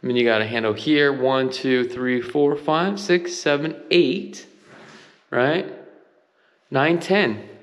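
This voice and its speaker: A man's voice: mid-range voice, takes it slowly. A man giving a casual, enthusiastic walkthrough of a house for sale, pointing out details as he films on a handheld camera. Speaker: real estate agent giving a home tour. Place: empty house, large bare rooms. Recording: long reverb of empty rooms.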